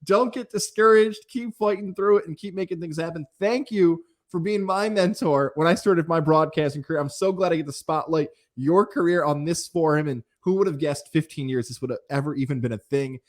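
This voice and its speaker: Middle-aged American male podcast host, fairly high voice, chatty and effusive, conversational interviewing. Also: fairly quick, few pauses. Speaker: podcast host, sports broadcaster.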